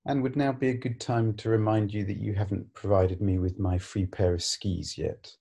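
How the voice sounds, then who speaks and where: A man's voice: lowish voice, steady tone, moderate pace, gravelly, mellow, breathy edge. A middle-aged man hosting a casual church podcast interview, asking questions and adding short reflections.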